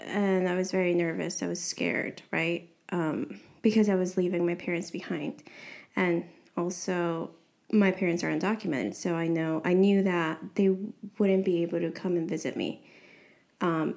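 Woman from New York, podcast guest, earnest casual chat, vocal fry, mellow tone. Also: fairly low pitch, steady tone, measured pace.

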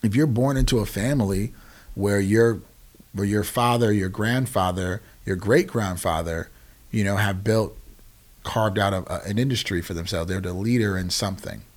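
A man's voice: fairly low pitch, moderate pace. An American man in his forties explaining things conversationally as an interview guest, emphatically and often checking that the listener follows. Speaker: podcast guest, nonprofit founder.

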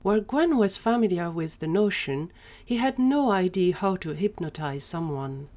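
A woman's voice: on the low side, animated, normal speed, a little mellow. A woman narrating a scripted story in an audio drama.

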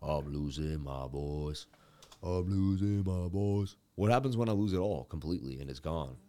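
A man in his thirties hosting a live crypto chart stream, chatty and animated with viewers, his voice scratchy as he loses it. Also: low voice, normal speed. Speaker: crypto market livestream host. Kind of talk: live chart analysis commentary.

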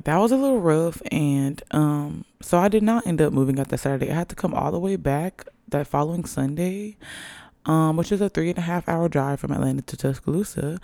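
A young woman talks loosely and playfully into a podcast mic, hyping herself up as she goes. Her voice is raspy and hoarse, with a gravelly fry at the ends of phrases. The sound is close and dry, with no room in it. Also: low voice, moderate pace, dark tone, no echo. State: hoarse after a long night. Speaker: podcast host. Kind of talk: casual solo podcast chat. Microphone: podcast microphone.

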